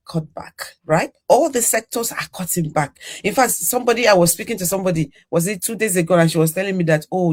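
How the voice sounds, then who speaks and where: An older woman from Nigeria hosting a live online panel, chatty and full of "you know" fillers. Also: lowish voice. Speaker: podcast host.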